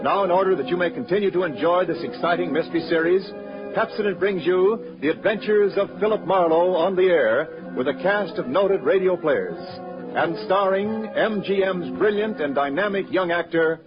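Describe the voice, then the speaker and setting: A man's voice: high voice, moderate pace. A man announcing a 1940s radio program in an emphatic, declamatory scripted style. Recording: muffled old radio broadcast with music.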